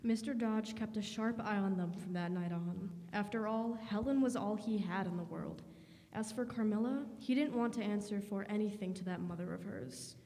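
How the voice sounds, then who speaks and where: A woman's voice: medium pitch, normal speed, creaky, mellow, lightly acted. A woman reading scripted narration and announcements for a radio drama. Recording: echoey hall.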